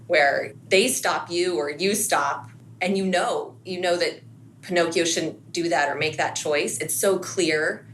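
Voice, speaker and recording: Low-pitched American woman chatting as podcast guest. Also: measured pace, a bit bright. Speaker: podcast guest, school assistant principal. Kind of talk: casual reflective conversation. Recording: faint background noise.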